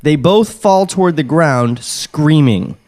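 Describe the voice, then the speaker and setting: A man's voice: fairly high pitch, expressive, slow, heavy background noise. A man reading screenplay stage directions on a comedy podcast, breaking into casual banter. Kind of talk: comedic table read, narration with casual banter. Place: podcast studio.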